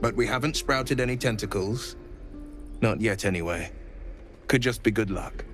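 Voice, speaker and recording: A man's voice: lowish voice, normal speed, fairly bright tone, natural voice. Man playing a gallant young devil-hunter. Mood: determined. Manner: earnest, gallant, courteous. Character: noble young hero, a sworn devil-hunter. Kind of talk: video game dialogue. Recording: faint music behind.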